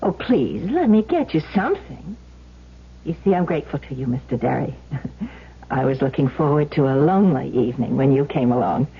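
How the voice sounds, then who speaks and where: An American actress playing a gracious, plucky housewife in a 1970s radio drama, with a husky voice and politely brave manner. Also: female voice, very deep voice, very animated, moderate pace, full character voice. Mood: gracious, eager. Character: gracious, plucky housewife in a wheelchair, eager for company. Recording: muffled old radio broadcast recording.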